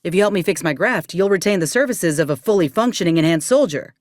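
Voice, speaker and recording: Game companion: actress as plain-spoken female ex-army medic, wary and folksy, mid pitch with lively swings, close dry recording. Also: quick pace, dry recording, close to an everyday voice. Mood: wry, guarded. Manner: folksy, drawling frontier speech with ain't and folk. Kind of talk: video game character dialogue.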